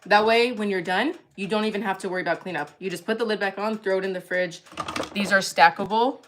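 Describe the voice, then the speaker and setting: A woman's voice: mid-range voice, quick pace, noisy background. Chatty young woman cooking live. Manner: chatty and giggly, answering viewer comments. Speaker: livestream cooking host. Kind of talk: casual chat while cooking on a livestream.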